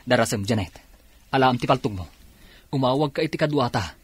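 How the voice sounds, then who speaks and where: An actor playing a brisk, dutiful young policeman in an Ilocano radio drama, with an Ilocano accent. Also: mid-range voice, animated, husky, dry recording. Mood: urgent, commanding. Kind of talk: Filipino radio drama acting.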